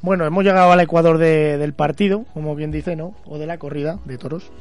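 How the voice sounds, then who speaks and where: A man's voice: on the high side, steady tone, brisk, a little mellow, dry recording, faint music behind. A man with a Spanish accent hosting a casual radio interview in a conversational way. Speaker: radio show host.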